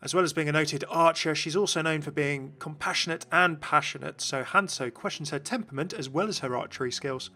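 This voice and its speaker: A man's voice: on the high side, brisk, few pauses, pushed and bright. A man hosting a gaming video with scripted, explanatory narration. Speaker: video host.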